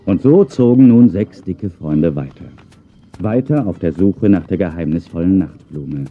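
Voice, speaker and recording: A man's voice: low voice, a little slow. A man narrating a children's audio drama expressively from a script. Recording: narrow phone-like sound, faint music.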